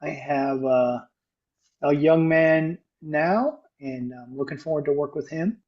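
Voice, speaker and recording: A man's voice: on the high side, slow pace. A man casually introducing himself as a volunteer on a group video call. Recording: dull video-call audio.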